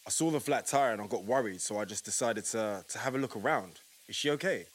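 An actor playing a helpful, concerned restaurant manager in an audio play. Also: male voice, on the low side, fast talker, lightly acted. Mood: eager to help.

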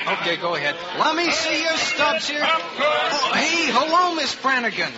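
An actor playing a brash, slangy, easily rattled ballpark ticket taker in a 1950s radio drama style. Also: male voice, extremely high pitch, sing-song, unhurried, loud music behind the voice, full character voice. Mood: officious, shouting. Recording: muffled noisy old radio, loud crowd.

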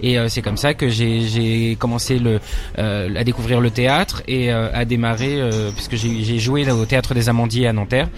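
French guy, young actor-director, lots of euh and restarts, mid-pitched voice. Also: fairly even intonation, moderate pace. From France. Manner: hesitant, many fillers and restarts. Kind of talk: interview answers.

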